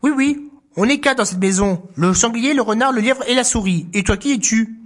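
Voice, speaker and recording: A woman voicing a small excited female mouse in a children's story, with a husky, eager voice. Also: on the high side, normal speed, pitched above the performer's own voice, close to an everyday voice. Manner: eager, chirpy, welcoming.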